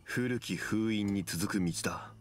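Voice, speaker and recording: A man voicing a composed, ancient male consultant in a game, speaking in a calm, measured and formal way. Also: lowish voice, natural voice. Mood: grave. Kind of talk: game voice acting. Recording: game audio with music behind.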